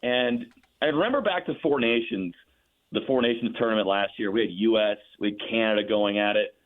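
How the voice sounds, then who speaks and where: A man's voice: lowish voice, brisk. Man on a phone-like line. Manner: enthusiastic. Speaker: radio play-by-play broadcaster as guest. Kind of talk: conversational sports analysis. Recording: narrow muffled phone-like line.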